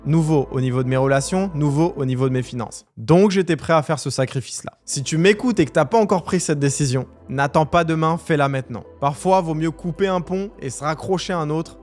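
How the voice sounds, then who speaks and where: A man's voice: fairly high pitch, a little fast, few pauses, darkish tone, soft background music. A man in his twenties from Algeria, giving a direct, emphatic motivational talk straight to the viewer. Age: 20s. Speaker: entrepreneur vlogger.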